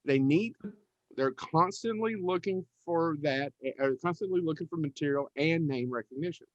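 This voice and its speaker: A man with a fairly high voice talks casually as a podcast co-host. He is emphatic and rambling in his delivery. The sound is very dry and a little dull. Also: moderate pace, no room sound. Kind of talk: casual panel discussion.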